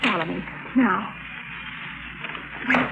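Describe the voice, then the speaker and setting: A woman's voice: medium pitch, slow, creaky. An actress playing a frightened, insistent young woman with a dramatic, pleading manner in a 1950s radio drama. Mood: urgent, pleading. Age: 20s. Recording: tinny, noisy 1950s radio recording.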